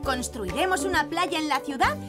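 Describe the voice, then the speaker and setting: A friendly young woman builder from a cartoon, speaking Castilian Spanish. Her voice is very high and lively, over background music. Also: normal speed. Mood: cheerful. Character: bright, friendly young adult female builder. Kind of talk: animated children's series dubbing.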